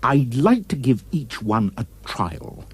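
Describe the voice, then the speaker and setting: A man's voice: mid-range voice, big pitch swings, takes it slowly, slightly rough, dry recording, exaggerated voice. A man voicing a self-pitying, shifty king with theatrical rhyming delivery in a puppet-show fairy tale.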